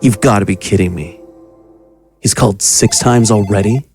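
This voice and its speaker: A man's voice: fairly low pitch, moderate pace, darkish tone, soft background music, natural voice. An actor playing a domineering, cruel occult magus who talks down to his pupil in an audio drama. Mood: scornful. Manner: condescending, commanding. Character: sinister, domineering male occult magus, cruel and mocking mentor.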